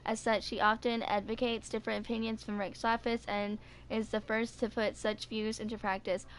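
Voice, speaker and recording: A woman in her twenties reading game lore aloud and commenting casually, informal and somewhat halting as she goes. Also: a little high, level tone, a little hiss or hum. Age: 20s. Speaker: gaming video creator.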